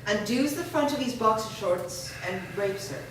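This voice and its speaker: A woman's voice: mid-range voice, expressive, normal speed, a little hiss or hum. Woman reading screenplay directions, echoey. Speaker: reader of a screenplay. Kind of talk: staged reading of stage directions. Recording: echoey room from far off.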